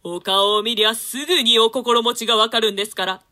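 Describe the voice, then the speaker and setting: A woman's voice: fairly high pitch, fairly quick, pushed and bright. A woman voicing a trusting, loyal male lord in a play reading, earnest and formal.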